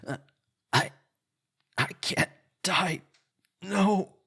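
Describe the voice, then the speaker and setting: A man's voice: sits high, gravelly, bright, forceful tone, slightly rough, no room sound, studio quality, full character voice. A man playing a sinister, arrogant vampire lord in an ASMR roleplay, possessive and commanding. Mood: shocked, desperate. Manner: commanding, drawn-out, menacing.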